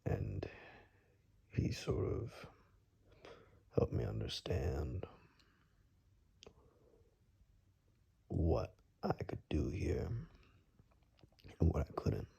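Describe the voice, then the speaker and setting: A man playing a gruff, protective forest dweller in an ASMR roleplay, speaking softly and huskily in a hesitant murmur, often whispering. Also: very deep voice, expressive, takes it slowly, long pauses, a little hiss or hum, exaggerated voice. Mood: calm, reflective. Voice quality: soft, breathy, husky, often whispered.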